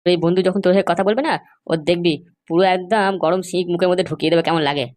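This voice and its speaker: Woman voicing a fast-talking angry old grandfather. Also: fairly low pitch, fairly even intonation, darkish tone, close to an everyday voice. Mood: threatening. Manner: scolding, threatening. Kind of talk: amateur comedy cartoon dub.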